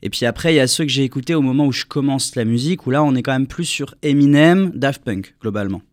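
A young man from France, a singer answering interview questions in a relaxed, rambling way with many fillers. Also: mid-range voice, fast, no room sound, studio quality. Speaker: singer guest.

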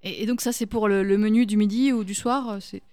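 A woman hosting a radio interview, with brisk questions and quick affirming replies. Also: mid-range voice, steady tone, warm tone, dry recording. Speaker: radio host.

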